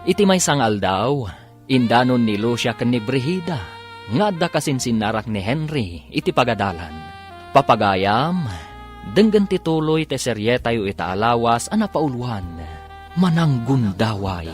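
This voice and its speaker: A Filipino man narrates a radio drama in a dramatic, scripted style. His mid-pitched voice moves in wide sweeps over faint music. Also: very animated, measured pace.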